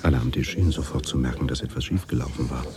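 An actor playing a sober, middle-aged doctor who recalls his past in measured, reflective radio drama narration, with a husky, breathy voice. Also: rumbling low, a crowd in the background. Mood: reflective, subdued. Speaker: first-person narrator.

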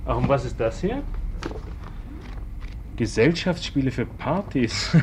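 An actor playing a wealthy, curious customer in a radio play, with a condescending, musing manner. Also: male voice, mid-range voice, expressive, slow, slight background noise. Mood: haughty, amused. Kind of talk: German radio play acting.

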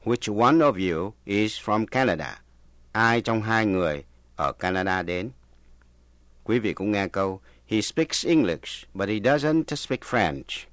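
A man's voice: lowish voice, expressive, measured pace, fairly bright tone, dry recording. A native Vietnamese man reading scripted language lesson narration as the presenter.

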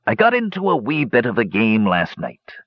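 A man's voice: medium pitch, wildly swooping pitch, normal speed, dry recording, dull audio, pitched above the performer's own voice. A man voicing a sheepish, wheedling dwarf gambler in a fantasy audiobook, confessing with hedges and sighs. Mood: sheepish, wistful. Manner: hesitant, hedging, sighing confessions with folksy turns.

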